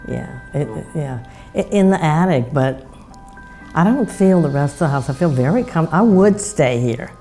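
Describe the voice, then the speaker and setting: Casting: female interviewee, dark soft tone, hesitant repeated phrasing, light music behind. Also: low-pitched, lively intonation, normal speed. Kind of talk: casual interview chat.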